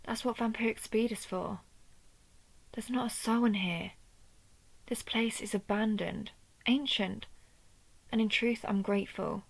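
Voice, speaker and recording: A woman playing an injured vampire who hunts her own kind, protective, proud and wry, in a measured, intimate audio roleplay. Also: a little high, steady tone, gravelly, poor audio. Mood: wry, resigned. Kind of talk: scripted audio roleplay addressed to the listener.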